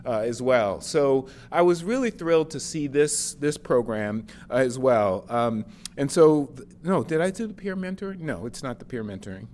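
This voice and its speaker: A man moderating an event, introducing the next speaker with halting, self-correcting speech. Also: mid-range voice, animated, darkish tone, slight background noise.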